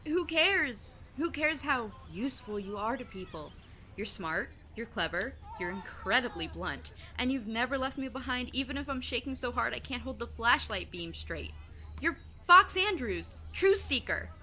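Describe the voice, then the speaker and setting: A woman's voice: on the high side, very animated, moderate pace, pushed and bright. A woman playing a sarcastic, protective teenage best friend in an audio drama, with blunt, slangy teasing. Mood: fiercely supportive.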